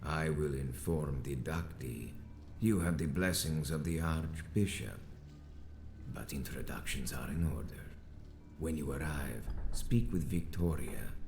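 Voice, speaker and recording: An actor playing a grandiose, cold and imperious vampire leader in a video game, speaking in a pompous, ornate way. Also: male voice, very deep voice, vocal fry, echoey room, over music, full character voice. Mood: grandiose, commanding. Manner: pompous, ornate, deliberate delivery. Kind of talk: theatrical video game villain acting.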